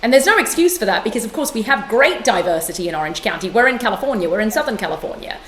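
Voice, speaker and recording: A middle-aged woman from London, speaking as an interview guest in a passionate, emphatic way with quick restarts. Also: medium pitch, very animated, a bit bright, echoey room, a little hiss or hum. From London, England. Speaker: guest author and yoga teacher. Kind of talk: conversational interview.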